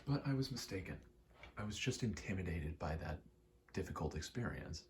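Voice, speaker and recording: A young man giving a soft-spoken, halting, reflective ASMR ramble, telling personal stories in a hushed voice close to the mic. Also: sits low, animated, a little hiss or hum. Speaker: ASMR creator.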